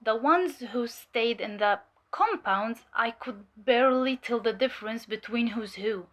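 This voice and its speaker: Female infiltrator played by an actress for audio drama: highish, crisp-edged voice, measured and hesitant testimony, weary but sharp. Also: muffled recording. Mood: uneasy, explanatory.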